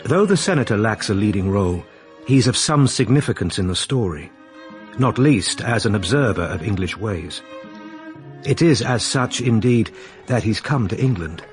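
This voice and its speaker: A man narrating a period radio drama in a wry, confiding, measured way. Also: lowish voice, lively intonation, soft background music. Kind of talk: scripted storytelling narration.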